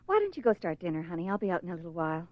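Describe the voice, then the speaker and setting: An eerie, lilting, obsessed wife. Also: female voice, fairly low pitch, sing-song, rapid-fire, no room sound. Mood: dreamy, unbothered. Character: obsessed wife, eerily contented, turning possessive and menacing. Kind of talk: dramatic radio horror play acting. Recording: dull lo-fi, dry radio recording.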